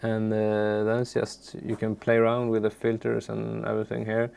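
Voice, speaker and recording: A man from Sweden, a native Swedish speaker, giving an informal, unscripted software tutorial in a halting, unassuming way with a gravelly, creaky voice. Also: lowish voice, completely flat delivery, music in the background. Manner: halting, searching for words, unassuming. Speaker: music software tutorial presenter. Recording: faint background noise, keyboard clicks.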